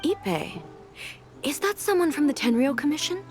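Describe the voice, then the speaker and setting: A woman voicing a dignified immortal ruler in a video game, speaking in a measured, formal, thoughtful way. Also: high voice, very animated, loud music behind the voice. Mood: composed, inquiring.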